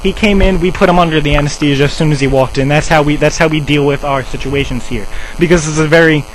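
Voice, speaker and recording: A man's voice: quick pace, lightly acted. Man posing as an officious clinic staffer, noisy phone call. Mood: defensive, testy. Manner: rambling, insistent, officious. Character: officious, defensive male drug treatment center staffer. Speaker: prank caller. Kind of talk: improvised prank phone call. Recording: noisy low-quality cell phone call, hum.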